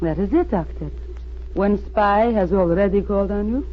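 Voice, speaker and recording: An actress playing a crafty, beautiful spy posing as an earnest peace campaigner, urgent and persuasive in old-time radio style. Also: female voice, medium pitch, normal speed, natural voice. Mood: pressing. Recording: tinny, noisy old radio recording.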